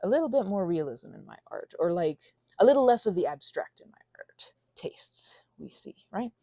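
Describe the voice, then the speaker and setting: A woman's voice: lowish voice, very animated, normal speed, creaky, warm tone, no room sound. A woman giving a scattered, playful, self-deprecating improvised ramble, trailing off into tangents and asides and sometimes dropping to a near whisper. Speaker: audio channel creator. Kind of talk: improvised stream-of-consciousness ramble while finger painting.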